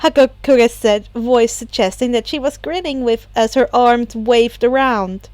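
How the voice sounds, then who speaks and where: A woman's voice: fairly high pitch, unhurried, darkish tone, no room sound. A woman reading fan fiction aloud as an amateur audiobook narrator.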